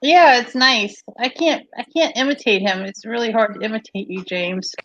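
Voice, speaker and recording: A woman's voice: a little high, normal speed, fairly bright tone. A woman chatting casually and teasingly, telling stories at length. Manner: teasing, talkative storyteller. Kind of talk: casual chat. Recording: dull lo-fi sound.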